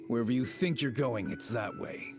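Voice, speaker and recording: An actor playing a shifty petty bandit, sly and wheedling, chuckling between lines, over game music. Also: male voice, medium pitch, vocal fry. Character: shifty male treasure hoarder thug, sly and cowardly. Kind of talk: video game voice acting. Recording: game soundtrack under the voice.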